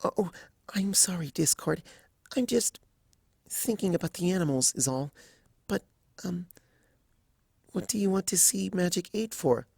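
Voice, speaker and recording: A man voicing a timid, gentle young female pony from a cartoon fan story, soft, hesitant and stammering. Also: high voice, lively intonation, unhurried, vocal fry, pauses often, husky, dry recording, studio quality, voice pushed up, full character voice. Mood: meek, hesitant.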